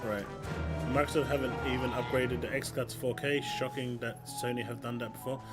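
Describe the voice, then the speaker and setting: A man's voice: medium pitch, steady tone, brisk. A man with a London accent chatting casually and opinionatedly while streaming a video game. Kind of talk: casual chat while gaming. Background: game music.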